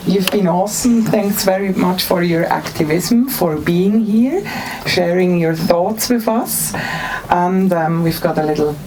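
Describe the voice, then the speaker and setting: A woman's voice: on the low side, a little slow, warm tone, slight background noise, low-quality recording. A woman host wrapping up an event with friendly thanks to her guests.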